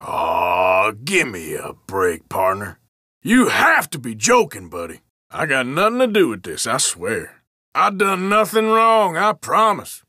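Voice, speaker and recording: Forceful, drawling Old West outlaw. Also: male voice, mid-range voice, big pitch swings, unhurried, dry recording, studio quality, heavily acted. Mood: irritated, defiant, then protesting innocence. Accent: American Western. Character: suspicious, defiant Old West outlaw man. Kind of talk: video game character voice lines.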